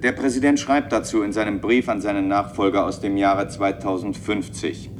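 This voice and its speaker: A male actor plays an authoritative chairman in a radio play. His delivery is formal and official, in a fairly low voice, over clearly audible background noise. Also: steady tone, normal speed, lightly acted. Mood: official, measured. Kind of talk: German radio play acting.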